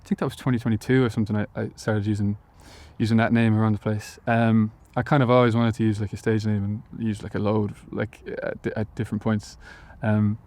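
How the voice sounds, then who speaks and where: A young Irish man in an interview, his voice on the low side. He answers haltingly, piling up fillers and false starts as he thinks aloud. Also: little pitch movement, darkish tone. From Ireland, Galway. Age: young adult. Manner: hesitant, many fillers and restarts. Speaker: musician guest.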